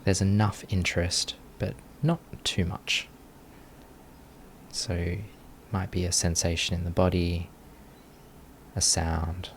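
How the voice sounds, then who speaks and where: A man leading a guided meditation as a teacher, giving gentle, unhurried instructions with long pauses between phrases. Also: deep voice, level tone, a little hiss or hum.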